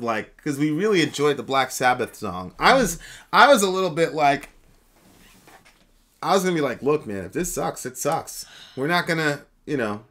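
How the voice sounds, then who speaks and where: A man from Jamaica, co-hosting a casual live chat, animated and laughing often as he reads comments. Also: mid-range voice. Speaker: co-host.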